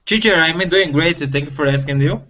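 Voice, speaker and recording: A man learning English who speaks haltingly and stumbles over his words on a video call. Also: a little high, pushed and bright. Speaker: student. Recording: dull lo-fi video call audio.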